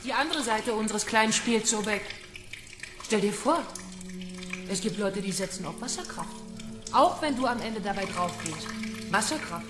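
A woman's voice: medium pitch, expressive, moderate pace, long pauses, natural voice. An actress playing a cold, mocking female kidnapper in a radio crime drama, taunting with cool control. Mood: taunting, controlled. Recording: echoey pool-like room, music behind.

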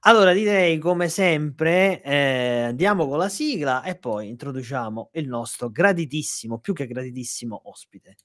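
A man hosts a live football podcast, his voice sitting a little high for a man. He speaks into a studio microphone in a small home room and introduces guests with upbeat, announcer-like energy. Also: lively intonation, moderate pace, hardly any pauses, a bit bright. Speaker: podcast co-host. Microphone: studio microphone on stand.

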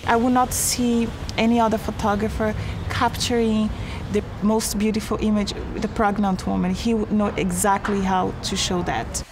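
A pregnant woman model speaking softly and reflectively in an interview. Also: medium pitch, level tone, moderate pace, hardly any pauses. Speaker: fashion model. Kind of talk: interview talking head. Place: outdoors at an old building. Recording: noisy outdoor ambience.

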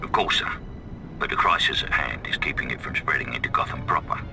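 A man playing a dutiful older butler in a video game, formal and understated, with a gravelly voice. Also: a bass voice, fairly quick, music in the background. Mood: composed concern. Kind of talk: dramatic video game cutscene acting.

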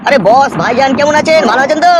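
A man's voice: very high-pitched, racing, very noisy. A man voicing a brash local gangster henchman who repeats himself, in a comic cartoon. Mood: eager, obliging. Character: swaggering local gangster, the politician's eager henchman.

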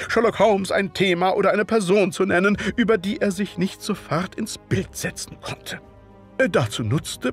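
A genteel Victorian gentleman narrates an audio drama in a slightly high, animated voice. He is formal and wordy, pausing politely over his phrasing, with soft music behind him. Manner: formal, measured, hesitating politely.

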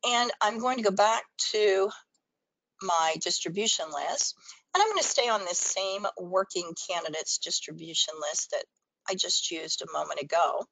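A woman's voice: on the low side, moderate pace, vocal fry, a bit bright, dry recording, poor audio. A woman presenting a training webinar, explaining things step by step in an instructional screen-share style.

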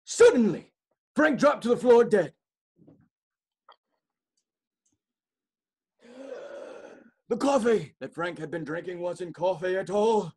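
A man's voice: sits high, breathy edge, heavily acted. A man playing a smug, swooping amateur writer. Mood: melodramatic suspense. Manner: smug, animated, chuckling, dramatic pauses. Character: pompous, melodramatic amateur writer giving writing advice. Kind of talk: theatrical comedic monologue.

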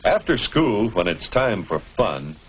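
A man's voice: on the low side, very animated, slow pace. A man narrating a 1950s educational film in an instructive manner, putting rhetorical questions to the viewer. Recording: noisy old 1950s film soundtrack.

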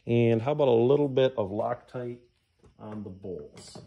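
A man's voice: medium pitch, a little slow, gravelly, mellow, dull audio, a little hiss or hum. A middle-aged man gives a casual hands-on tutorial, muttering to himself as he works in a garage. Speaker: DIY engine rebuilder giving a how-to. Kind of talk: casual hands-on tutorial, talking while working.

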